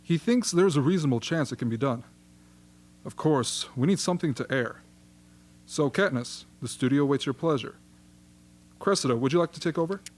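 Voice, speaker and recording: A man playing a genial, flattering propaganda chief in a radio play, chatty and smoothly persuasive. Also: a little high, moderate pace, long pauses. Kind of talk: amateur radio drama acting.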